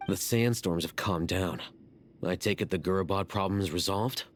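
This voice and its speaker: A man voicing a composed, skeptical scholar in a video game, speaking in a measured, formal, dry way. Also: low-pitched, slight background noise, close to an everyday voice. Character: composed, coolly analytical young male scholar, blunt and skeptical. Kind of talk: video game character acting.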